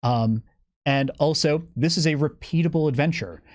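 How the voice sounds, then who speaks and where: A man's voice: on the high side, moderate pace, darkish tone, no echo, studio quality. A man speaking as a guest on a live stream, explaining things in a detailed, methodical way. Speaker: guest game developer giving campaign updates.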